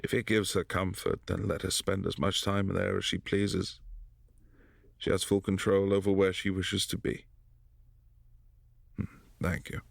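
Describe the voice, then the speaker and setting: A man voicing a brooding, possessive adult vampire prince in a boyfriend ASMR audio drama, speaking softly and often in a hushed whisper. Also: low voice, level tone, measured pace, gravelly, long pauses, no echo, exaggerated voice. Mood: calm, concerned.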